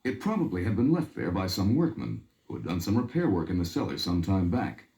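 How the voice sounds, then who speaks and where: Animated male fairy-tale narrator. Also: deep voice, brisk, vocal fry. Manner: expressive storytelling. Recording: echoey vintage story record. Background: music.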